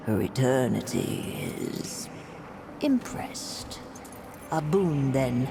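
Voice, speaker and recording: A woman's voice: a bass voice, exaggerated voice. A woman playing a regal, imperious empress in a fantasy game, speaking in ceremonious royal proclamations. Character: regal eternal empress, imperious female ruler. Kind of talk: theatrical fantasy game acting.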